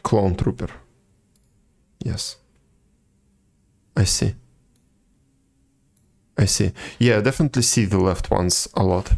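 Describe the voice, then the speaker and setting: A man chatting casually as a live game streamer, musing aloud in a low-key, halting way. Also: vocal fry, a little mellow.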